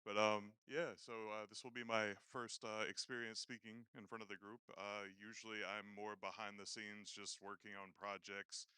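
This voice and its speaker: A man with a low voice giving an informal, hesitant talk as a first-time speaker on a conference stage. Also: flat delivery, normal speed, hardly any pauses, pushed and bright, clear, resonant tone, dry recording. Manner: hesitant, self-effacing. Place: conference stage at live event. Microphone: handheld stage microphone.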